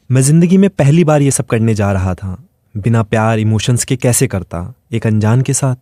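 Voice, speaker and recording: A man's voice: mid-range voice, warm tone, no echo, studio quality. A man reading a scripted first-person dramatic story as its narrator.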